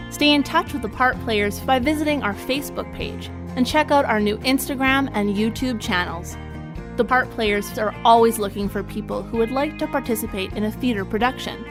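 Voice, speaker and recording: A woman reading a scripted radio sign-off and historical notes as the announcer for a community theatre group. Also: on the high side. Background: music.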